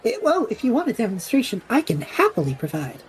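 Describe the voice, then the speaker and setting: A woman's voice: a little high, wildly swooping pitch, fast talker, slight background noise, rough recording. A woman voicing a cocky, flippant young male student adventurer in an audio drama, breezy, sarcastic and slangy.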